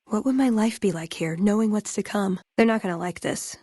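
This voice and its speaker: A woman's voice: medium pitch, brisk, mellow, slightly rough, no room sound, clean studio sound, natural voice. A woman voicing a wry, idealistic young scavenger in a video game, speaking in a sardonic, conversational way. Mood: resigned, troubled. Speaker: video game companion character. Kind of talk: naturalistic game dialogue acting.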